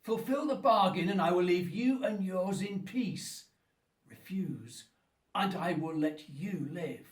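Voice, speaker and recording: A lonely brooding monster in a radio drama, really slow n grand, echoey room. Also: male voice, high voice. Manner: slow, grandiloquent, pleading and menacing delivery. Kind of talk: theatrical radio play acting. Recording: roomy echo, distant from the mic.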